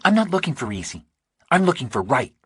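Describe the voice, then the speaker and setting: A male narrator plays a protective, no-nonsense woman in an audiobook. The voice is lifted in pitch and husky, with a firm, brisk delivery. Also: big pitch swings, a bit bright, dry recording, studio quality, heavily acted. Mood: firm, determined.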